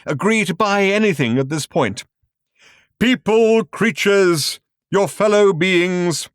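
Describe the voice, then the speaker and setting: A man voicing a bombastic showman orator from a galactic spectacle in a science fiction audiobook, grandiose, drawn-out and sentimental, with a thunderous delivery. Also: sits high, expressive, clear, resonant tone, dry recording, studio quality, pitched above the performer's own voice. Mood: solemn, imploring.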